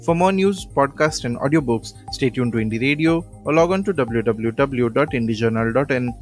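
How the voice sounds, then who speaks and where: A man's voice: medium pitch, lively intonation, brisk, soft background music. A man with an Indian accent presenting a scripted radio news bulletin.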